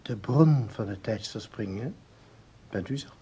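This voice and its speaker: An actor in a Dutch radio play playing a calm, methodical researcher who explains patiently, with deliberate pauses and a husky voice. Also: male voice, fairly low pitch, exaggerated voice. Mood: calm, explanatory.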